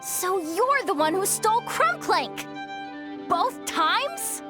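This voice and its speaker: High-pitched, outspoken young adventurer. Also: female voice, slow, music in the background, exaggerated voice. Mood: accusing. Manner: expressive, earnest, outspoken. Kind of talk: animated video game voice acting.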